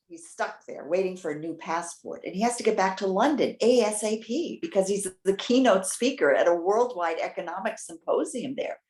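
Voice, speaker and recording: A woman playing a trusting, excitable elderly widow in readers theater, talking in a chatty, halting, earnest way. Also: mid-range voice, lightly acted. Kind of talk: readers theater stage acting. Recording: roomy, echoing sound.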